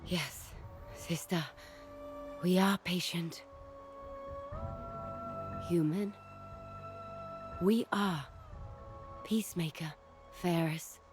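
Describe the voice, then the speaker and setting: Very slow-speaking gentle tree spirit. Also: female voice, on the low side. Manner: halting, deliberate phrasing with odd formal diction. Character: gentle ancient tree-spirit healer, solemn and patient. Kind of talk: video game cutscene acting.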